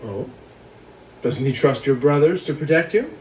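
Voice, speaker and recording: A man voicing a stern, bitter young lord of Egypt in an animated film, questioning and accusing in a commanding manner. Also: fairly high pitch, normal speed. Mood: guarded, probing. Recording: roomy, distant, noisy film soundtrack rip.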